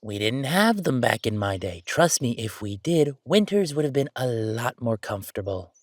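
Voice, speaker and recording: A man acts out an easygoing ghost, a handy guy in his thirties, chatting in an audio roleplay. He rambles casually and tosses in wry asides. The voice sits at a middling pitch in a very dry recording. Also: very animated, normal speed, no room sound. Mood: helpful, chatty. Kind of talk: conversational audio roleplay monologue.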